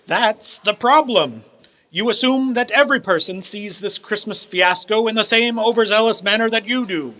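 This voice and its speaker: A man's voice: sits high, normal speed, sharp tone. An actor playing a cold, miserly old businessman in a radio play, speaking in a formal, sarcastic, condescending way. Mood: irritated, scornful. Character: cold, miserly old male business owner, scornful of Christmas. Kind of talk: theatrical radio play acting.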